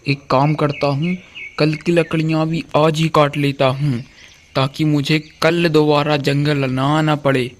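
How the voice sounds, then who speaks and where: A man's voice: a little high, little pitch movement, measured pace, a little hiss or hum, close to an everyday voice. A man playing a timid but crafty village woodcutter in a cartoon story. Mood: nervous.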